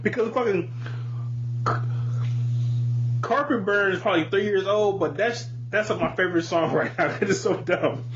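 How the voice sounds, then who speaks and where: High-voiced man giving blunt opinions. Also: normal speed, pauses often. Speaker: podcast co-host. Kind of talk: casual opinionated podcast chat. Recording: dull lo-fi audio with hum and noise.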